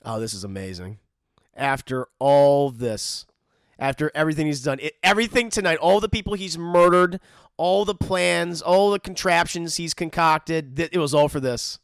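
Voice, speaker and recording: A man's voice: fairly high pitch, moderate pace, clear, resonant tone, no echo. A man casually joking through a cartoon episode commentary, often mock-voicing the characters. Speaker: commentary host.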